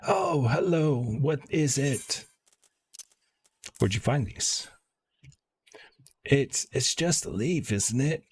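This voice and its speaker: A man plays a curious, careful villager in a fantasy game. The voice is lifted above his usual talk and a little husky, and the reading is halting and sincere. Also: medium pitch, lively intonation, pitched above the performer's own voice, full character voice. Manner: halting, stumbling over words. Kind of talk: reading game dialogue aloud.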